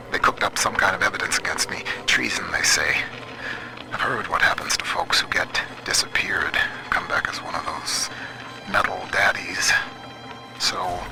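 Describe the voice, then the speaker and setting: A man playing an earnest, world-weary computer scientist in a dystopian video game, speaking in a measured, folksy, plain way. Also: on the low side, rough recording. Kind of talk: video game voice acting, radio messages and audio diaries.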